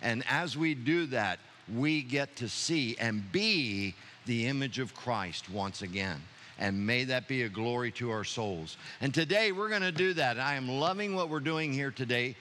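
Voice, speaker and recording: A bright, forward-voiced man addresses his congregation as pastor. His delivery is enthusiastic and preacherly, with a light hiss in the background. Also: medium pitch, animated, measured pace. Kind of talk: church service address. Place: church sanctuary.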